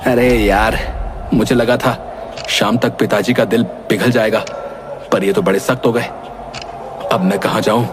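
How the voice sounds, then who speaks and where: A man's voice: on the low side, fairly even intonation, a little slow, pauses often, background noise, natural voice. A man voicing a spoiled, haughty young prince in a cartoon story, with a petulant, demanding manner. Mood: dismayed. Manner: petulant, imperious. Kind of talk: Hindi animated moral story dialogue. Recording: music under the voice.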